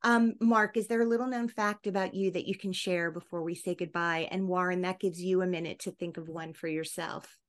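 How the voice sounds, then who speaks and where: A woman's voice: fairly low pitch, fairly even intonation, brisk, hardly any pauses. A woman with an American accent hosting a casual interview podcast, effusive and appreciative in her way of talking. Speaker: podcast host.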